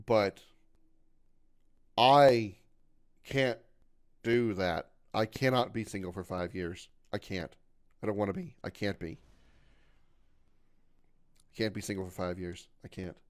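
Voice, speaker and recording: A man's voice: on the low side, fairly even intonation, slow, pauses often. Rambling, glum man in his thirties. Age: 30s. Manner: rambling and repetitive, stumbles over words; glum and self-deprecating. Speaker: game streamer. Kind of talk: casual livestream chat with viewers.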